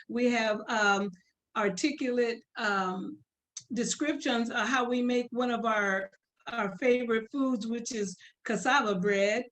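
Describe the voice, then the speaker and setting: Hesitant woman describing her museum. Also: on the high side. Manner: hesitant, many fillers. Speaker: community museum representative. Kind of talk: informal guest talk.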